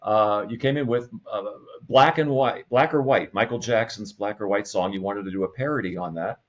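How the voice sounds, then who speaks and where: An American man in a casual interview, reminiscing as a guest in a halting way with repeated words. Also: on the low side, muffled recording. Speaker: podcast guest, radio comedy writer and producer.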